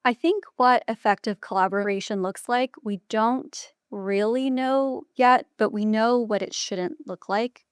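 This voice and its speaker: A woman's voice: a little high, unhurried, hardly any pauses, clean tone, no room sound, studio quality. A woman speaking as an interview guest, a physician giving articulate, organized explanations point by point. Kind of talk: interview answers, measured explanatory talk.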